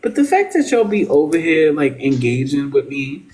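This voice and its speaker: Animated, slangy speaker in their early thirties. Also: mid-range voice, normal speed. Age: early 30s. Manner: animated, slangy, swearing freely. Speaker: live stream host. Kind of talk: casual chat.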